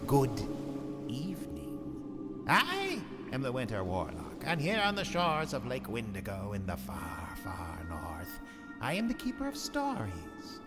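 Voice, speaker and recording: A man's voice: medium pitch, wildly swooping pitch, a little slow. A man playing a sinister, gleeful old warlock who narrates horror tales theatrically in a radio drama. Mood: beckoning, sinister. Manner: theatrical, macabre, mockingly cheerful. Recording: long cavernous reverb, music behind. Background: music.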